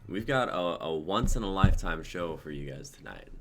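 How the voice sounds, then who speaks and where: A man's voice: low voice, animated, moderate pace, gravelly. A man in his twenties casually hosting a livestream in an informal, hyped, sweary way. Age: 20s. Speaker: host of a livestreamed script-reading show. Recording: noisy home livestream audio.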